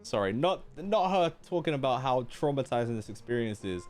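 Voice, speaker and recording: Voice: mid-range voice, expressive, brisk, a little mellow, faint music behind. A game streamer giving casual live reaction commentary with playful, mock-dramatic interjections.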